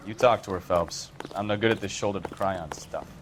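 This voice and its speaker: An actor playing a gruff, unsentimental detective partner in a crime game, blunt and dismissive. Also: male voice, sits low, brisk, very noisy, close to an everyday voice. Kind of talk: cinematic game acting.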